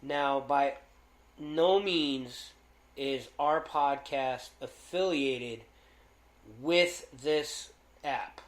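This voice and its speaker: A man co-hosting a casual podcast, loud-voiced and rambling, with lots of fillers and restarts. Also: medium pitch, drawn-out words, reverberant. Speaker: podcast co-host.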